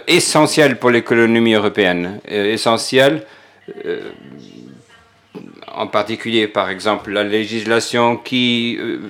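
A man giving simultaneous interpretation of a parliamentary speech, hesitant, with frequent filler pauses and restarts. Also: medium pitch, a bit bright.

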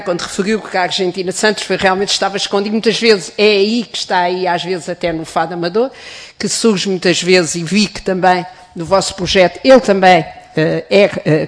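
A woman with a European Portuguese accent, speaking as a member of parliament in a formal committee hearing, with many pauses, fillers and restarts. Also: medium pitch.

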